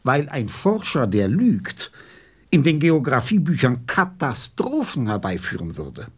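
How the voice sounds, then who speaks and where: Pompous old scholar, swooping and slow. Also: male voice, mid-range voice, huge pitch swings, husky, exaggerated voice. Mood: self-important. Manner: pompous, pedantic, emphatic. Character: pompous, self-important old scholar, a geographer. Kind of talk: radio play acting.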